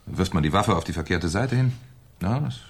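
An actor playing a shrewd, sardonic French police commissioner in a German radio crime drama, with a dry, probing manner. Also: male voice, deep voice, expressive, brisk, slight background noise. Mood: dryly sardonic.

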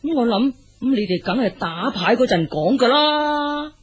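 A woman's voice: fairly high pitch, lively intonation, normal speed, natural voice. A woman acting a gossiping, indignant Hong Kong Cantonese housewife in a radio drama. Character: indignant gossiping housewife, quick to blame others.

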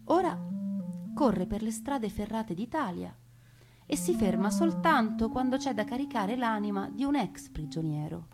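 A woman narrating a scripted memoir as a stage storyteller, expressive and with dramatic pauses. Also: on the high side. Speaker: theatre actress and storyteller. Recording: music under the voice. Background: music.

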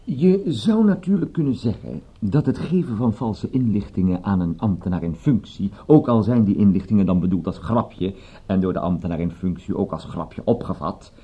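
A man's voice: fairly low pitch, slightly rough, phone-quality sound, full character voice. A man playing a dry, officious British policeman in a radio drama, phrasing things in long-winded official language.

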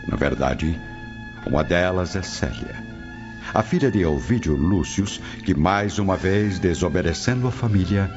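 A man with a Brazilian accent giving dramatic scripted narration as the narrator of a radio drama. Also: sits low. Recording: dull lo-fi audio. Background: prominent music.

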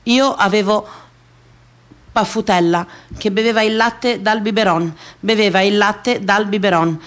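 A woman's voice: mid-range voice, fairly even intonation. Woman performing an incantatory dramatic monologue. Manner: deliberate, incantatory repetition of phrases. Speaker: actress performing her own theatrical monologue. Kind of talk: dramatic scripted monologue for radio theatre.

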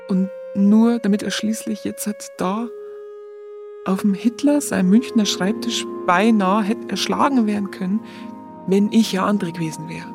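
A woman playing a tender, earnest young Munich woman with a Bavarian accent, confiding haltingly in a radio drama. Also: mid-range voice, fairly even intonation, close to an everyday voice. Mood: tender, moved. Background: music.

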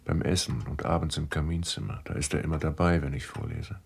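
Deep-voiced, reflective house guest. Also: male voice, fairly even intonation, a little fast, gravelly, slight background noise. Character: composed, observant male guest recounting his stay. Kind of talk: German radio drama dialogue and narration.

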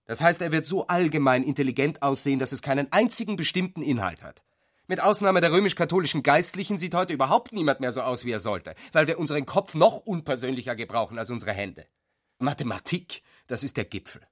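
Scornful, jealous intellectual husband. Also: male voice, medium pitch, expressive, fairly quick, fairly bright tone. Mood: scornful lecturing. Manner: emphatic, didactic, scornful, spacing words out. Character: jealous, disapproving husband, brooding intellectual with growing aversion. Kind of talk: literary radio drama acting.

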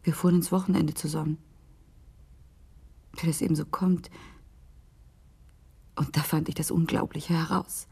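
A woman's voice: low-pitched, fairly even intonation, moderate pace, creaky, pauses often, dark tone, husky, heavily acted. An actress playing an intense American businesswoman confiding a scandal in a radio drama monologue, sometimes dropping to a whisper. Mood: incredulous, confiding.

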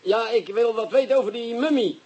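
An actor playing an impatient Amsterdam police detective in a radio play, who speaks in halting English on the phone. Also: male voice, extremely high pitch, darkish tone, husky. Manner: impatient, brusque, broken English. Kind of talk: radio drama acting.

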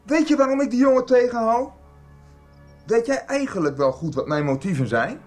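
A man's voice: very high-pitched, expressive, moderate pace, a little mellow, faint music behind. A man playing an embittered, defensive schoolteacher in a Dutch radio soap. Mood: agitated, angry. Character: embittered, defensive schoolteacher arguing with his brother. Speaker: radio drama actor.

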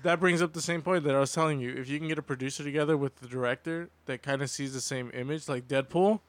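A man's voice: on the high side, fairly even intonation, quick pace, no echo. A man speaking casually as a panelist in a podcast group discussion.

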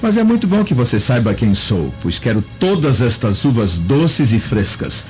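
A man's voice: mid-range voice, sing-song, moderate pace, a little mellow, natural voice. A man playing a haughty, suspicious Roman senator in a radio drama, speaking curtly and imperiously. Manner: imperious, curt, threatening. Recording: dull lo-fi audio with music.

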